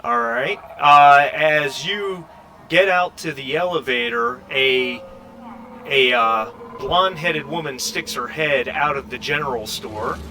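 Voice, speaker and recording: A male game master with a bright, forward voice improvises scenes for his players. He pauses and stretches words as he thinks, in a casual table-talk style, with faint noise behind him. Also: on the high side.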